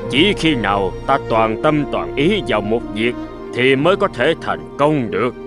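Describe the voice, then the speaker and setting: A man acts out a middle-aged father in a moral cartoon. He is stern yet fair, measured and earnest, with a wide-moving, mid-pitched voice over faint music. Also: sing-song, lightly acted. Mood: firm, advising. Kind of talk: animated moral tale dubbing. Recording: music behind the voice.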